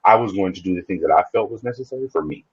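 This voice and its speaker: Low, husky-voiced man in reflective talk. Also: very fast, dry recording, highs cut off. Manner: reflective, speaks in restarts and repeats. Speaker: podcast guest. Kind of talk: casual interview conversation.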